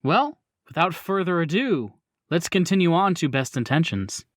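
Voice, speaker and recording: A man's voice: a little high, very animated, moderate pace, no room sound, studio quality. A man acting as game master, narrating a tabletop roleplaying game in the second person.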